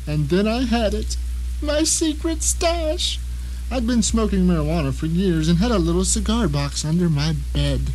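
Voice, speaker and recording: High-pitched male voice, actor as wry blind writer, theatrical first-person storytelling, drawn-out emphasis, radio drama, clearly noisy recording. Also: darkish tone. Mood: conspiratorial. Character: blind best-selling writer trapped in his own house, resourceful, wry. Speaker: actor narrating in character.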